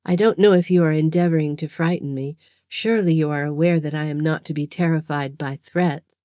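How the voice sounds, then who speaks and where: A woman voicing a proud gentleman held captive, speaking formally and defiantly through pain. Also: low voice, fairly even intonation, fairly quick, no echo, dull audio, lightly acted. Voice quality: formal, indignant.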